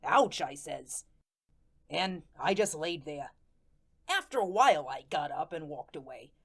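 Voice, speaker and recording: Woman doing a streetwise ghost telling its own story, slangy n put-out, pitch bouncing around, radio play. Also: animated, unhurried, fairly bright tone, exaggerated voice. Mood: aggrieved, bemused. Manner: colloquial, ungrammatical, conversational storytelling. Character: chatty, aggrieved, streetwise ghost of a murder victim. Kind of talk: first-person radio drama narration with acted dialogue.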